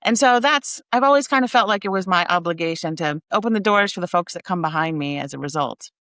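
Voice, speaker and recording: Low, smooth middle-aged American woman. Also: expressive, fast talker, fairly bright tone, no room sound. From raised in Kansas, United States. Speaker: interview guest, nonprofit executive. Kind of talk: conversational interview answers.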